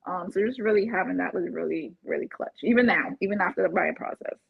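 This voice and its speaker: A woman from Barbados with a husky voice, talking casually and chattily, as in a testimonial interview. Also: mid-range voice, fast, no echo. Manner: chatty, laughs often while talking. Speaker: interviewed client, first-time home buyer. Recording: dull, muffled lo-fi audio.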